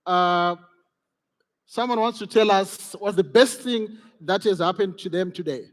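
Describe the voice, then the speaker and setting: A man's voice: high voice, unhurried. A man facilitating an interactive conference workshop, encouraging the audience and repeating his key phrases. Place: large conference hall. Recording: reverberant conference hall.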